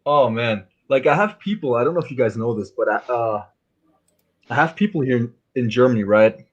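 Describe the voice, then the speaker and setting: A man's voice: mid-range voice, animated, a little fast, highs cut off. A man on a casual stream, talking bluntly and laid-back, with some swearing. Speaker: stream co-host.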